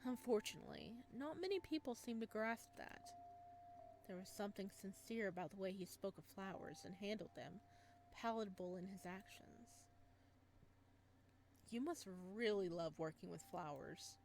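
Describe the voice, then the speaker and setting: Woman, game streamer, reading visual novel aloud, excitable reactions, mid pitch, noisy background. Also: normal speed.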